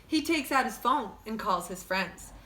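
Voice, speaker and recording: Animated woman reading to children, echoey room. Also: fairly high pitch, unhurried. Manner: expressive, simple phrasing aimed at young children. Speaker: teacher reading a story to children. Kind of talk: children's storybook read-aloud.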